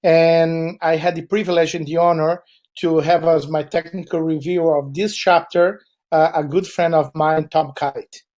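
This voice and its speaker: A man's voice: on the high side, steady tone, moderate pace, darkish tone. A man from Chile with a Brazilian Portuguese accent, Portuguese his first language, giving a webinar presentation in a meandering way with frequent fillers.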